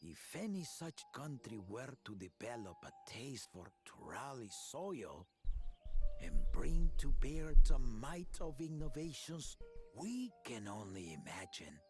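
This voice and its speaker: A woman's voice: very deep voice, sing-song, unhurried, over music, heavily acted. A woman voicing a determined young leader who rallies rival peoples in a game cutscene, speaking in an earnest, stirring way. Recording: game score playing behind.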